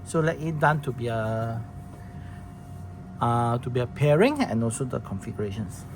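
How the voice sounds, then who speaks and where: Male demonstrator, mid pitch, casual and halting how-to delivery, noisy room recording. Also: big pitch swings. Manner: halting, plain wording with many restarts and fillers. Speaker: product demonstrator. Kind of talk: casual product demo walkthrough.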